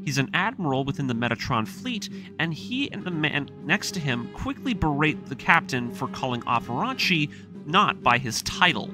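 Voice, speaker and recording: A man narrating a story breakdown with commentary, in an earnest, emphatic, scripted storytelling manner. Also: on the high side. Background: background music.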